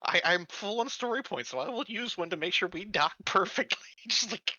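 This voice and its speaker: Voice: mid-range voice, animated, a little fast, bright, forceful tone, no echo, highs cut off. A player in a tabletop roleplaying game, talking in an easygoing, upbeat way. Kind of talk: casual tabletop game chat.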